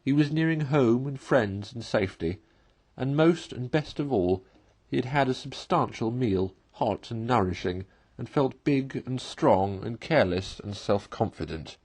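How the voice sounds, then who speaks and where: A man reading a classic story aloud as narrator, in an expressive storytelling style. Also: medium pitch, unhurried, breathy edge.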